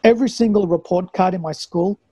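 Higher-voiced man in his forties. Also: brisk, warm tone, husky, no room sound. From Australia, born in Afghanistan. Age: 40s. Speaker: interview guest, health practitioner and mentor. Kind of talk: conversational personal storytelling in an interview.

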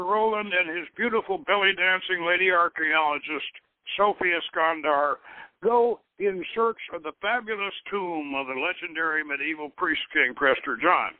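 A man hosting a radio show, reading a scripted text and his own novel aloud in deliberate phrases, as if over a telephone. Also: high voice, dry recording. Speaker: radio show host and author. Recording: tinny phone-line radio broadcast.

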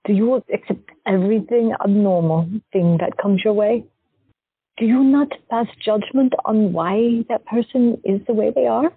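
A woman's voice: mid-range voice, measured pace, husky, dry recording. A woman playing a shy woman patient who has visions, speaking hesitantly and with wonder. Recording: dry, tinny phone-like audio.

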